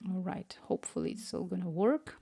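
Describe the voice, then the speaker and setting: A woman's voice: fairly low pitch, lively intonation, a little fast, vocal fry, dark tone, slightly rough. A woman with a Ukrainian accent carrying some Spanish influence, streaming live as an app developer, chatty and easily distracted, reading chat aloud and murmuring to herself while she codes. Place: home room.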